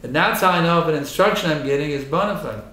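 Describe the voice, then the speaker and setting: A hoarse man giving a temple lecture and answering questions, emphatic and insistent, repeating his points. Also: on the high side, fast talker, bright, forceful tone. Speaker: spiritual teacher giving a lecture. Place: temple hall. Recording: echoey hall.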